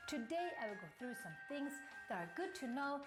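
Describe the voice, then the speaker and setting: A Swedish woman from Stockholm with a Stockholm accent, teaching how to sound Swedish in a scripted instructional video, demonstrating sounds clearly. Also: sits high, sing-song, fairly quick. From Stockholm, Sweden. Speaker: language teacher. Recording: clip with music behind, played on stream.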